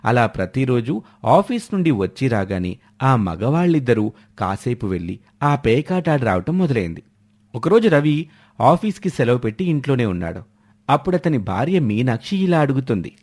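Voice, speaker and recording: A man works as a storyteller, delivering scripted narration. His voice sits at a medium pitch. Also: very animated, moderate pace, a little mellow.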